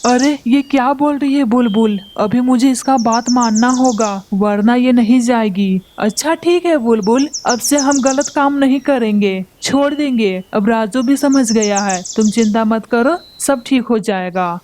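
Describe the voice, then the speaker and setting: A woman voicing a scheming male crow, a husband and father with a gruff, dismissive manner in a cartoon. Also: a little high, unhurried, hardly any pauses, darkish tone, slight background noise, natural voice. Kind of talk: Hindi cartoon voice acting.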